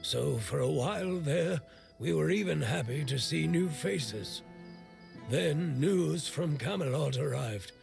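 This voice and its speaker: An actor playing a gruff, irreverent old smith in a video game, with a gravelly voice and a blunt, sardonic way of talking. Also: male voice, a little high, expressive, slow, faint music behind, heavily acted. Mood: wry storytelling. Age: older. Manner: blunt, foul-mouthed, sardonic. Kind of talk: video game character dialogue.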